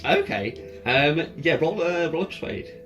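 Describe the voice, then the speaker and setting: A man acting as game master, narrating an improvised tabletop game in a halting way, often rephrasing as he goes. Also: fairly high pitch, expressive, a bit bright. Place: room, in-person game session. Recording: ambient music behind the voice. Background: ambient music.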